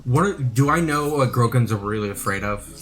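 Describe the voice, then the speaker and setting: Middle-pitched man playing a tabletop game. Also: expressive, fairly quick. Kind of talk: casual game-table chat.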